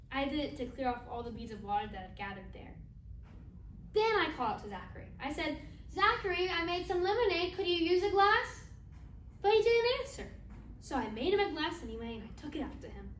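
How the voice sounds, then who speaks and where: Naive, oddly matter-of-fact wife played by a woman, high voice, chatty theater monologue, distant and roomy sound. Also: very animated, fairly quick. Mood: bright, insistent. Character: naive Southern wife, oddly matter-of-fact about shooting her husband. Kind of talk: stage monologue. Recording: roomy, distant mic, faint noise.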